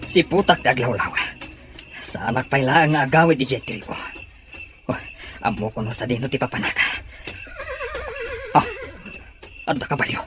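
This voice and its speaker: A man's voice: mid-range voice, moderate pace, long pauses, natural voice. A man with a Filipino accent playing a trapped, anxious captive in a radio drama. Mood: alarmed, puzzled. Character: trapped, anxious male captive desperate to escape. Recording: music bed behind the voice.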